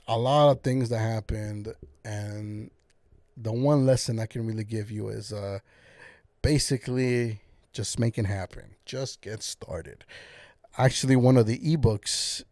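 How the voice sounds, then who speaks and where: A young man with a warm, mellow voice talks on his own as a podcast host. He sounds casual and encouraging and keeps rambling with plenty of uhs. The sound is dry and close. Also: mid-range voice, measured pace, no room sound. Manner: encouraging, rambling with many fillers. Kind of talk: casual solo podcast monologue.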